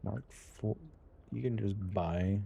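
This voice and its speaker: Male streamer, low creaky voice, dark tone, dry offhand asides, light noise behind. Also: slow pace. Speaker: game streamer. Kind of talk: casual live gameplay commentary.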